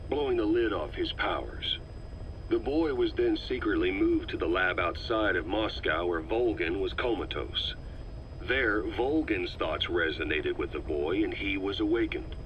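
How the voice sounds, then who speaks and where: An actor playing a calm, middle-aged male agent who methodically explains a conspiracy to the listener on a cassette tape. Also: low-pitched, a little hiss or hum. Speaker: intelligence briefer on a cassette tape. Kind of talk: scripted game voice acting. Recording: slightly muffled cassette tape recording.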